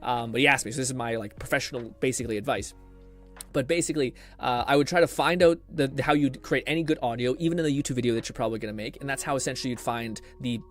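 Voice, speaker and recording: A man live streaming, explaining things in a casual, rambling way with self-deprecating swearing. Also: mid-range voice. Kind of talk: casual rambling stream talk with tutorial advice.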